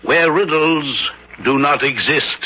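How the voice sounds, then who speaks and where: An actor playing a clever, teasing Parisian detective in a deliberate, theatrical radio mystery style. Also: male voice, on the high side, very noisy. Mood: smugly teasing. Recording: dull old radio recording.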